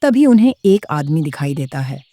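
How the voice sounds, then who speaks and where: A woman's voice: low voice, very animated, normal speed, dark tone, no echo. A woman narrating a horror story from a script.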